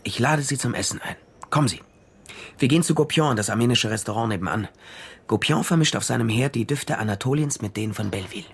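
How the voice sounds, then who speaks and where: An actor playing a wry, worldly private detective, narrating a radio crime drama with dry understatement. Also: male voice, mid-range voice, quick pace, gravelly, fairly bright tone, slightly rough. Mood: casual, inviting. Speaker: narrator and lead character.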